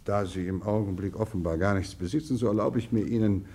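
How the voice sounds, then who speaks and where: An actor in a 1950s German radio play, playing a condescending, evasive older general with a husky voice and jovial formality. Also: male voice, lowish voice, quick pace, mellow. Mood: patronising, evasive. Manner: hesitant, patronising, jovial formality.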